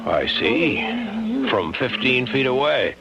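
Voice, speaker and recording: An actor playing a concerned, skeptical ship's doctor who also narrates the story in a radio drama. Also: male voice, mid-range voice, very animated, slow, a loud crowd. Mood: concerned, dry. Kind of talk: radio drama acting and first-person narration. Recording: muffled vintage radio recording, music behind.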